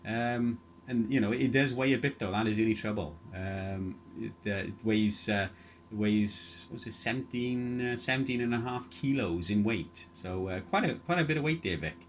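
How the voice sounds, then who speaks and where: A man's voice: fairly low pitch, moderate pace, slight background noise. A man rambling casually through technical details as he chats as an amateur radio operator. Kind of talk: casual chat over ham radio.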